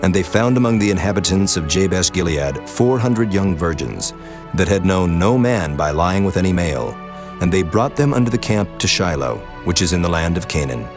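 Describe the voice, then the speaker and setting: Scripture narrator: man, low-pitched, solemn, measured formal read over a clear music bed. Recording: prominent music behind the voice.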